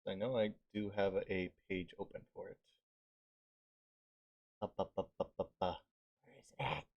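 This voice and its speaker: A man's voice: low-pitched, flat delivery, moderate pace, dull audio. A male streamer reading a visual novel aloud with casual asides, stumbling over words and swearing casually.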